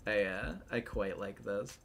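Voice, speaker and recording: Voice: sits low, gravelly, a little hiss or hum. A livestreamer and voice actor with a male-range voice, chatting casually in a hesitant, thinking-aloud way.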